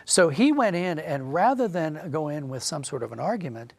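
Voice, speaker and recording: A man's voice: on the high side, lively intonation, normal speed, creaky, dry recording. A man speaking as an interview guest, explaining history in a discursive, thoughtful, conversational way. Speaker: interview guest, audio drama writer.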